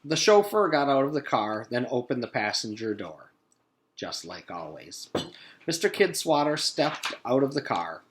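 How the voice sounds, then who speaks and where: A man in his forties reading a children's book aloud like a teacher, with expressive, deliberate phrasing. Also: medium pitch, a little hiss or hum. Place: small room at home.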